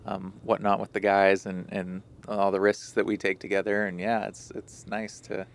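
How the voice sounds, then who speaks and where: A man speaking as a podcast guest, soft-spoken and reflective in a casual chat. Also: fairly low pitch, level tone, normal speed, creaky.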